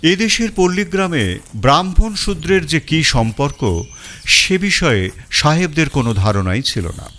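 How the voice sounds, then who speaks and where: A man's voice: fairly high pitch, animated, a little slow, bright, forceful tone, slight background noise. A man narrating a first-person detective story in a storytelling style.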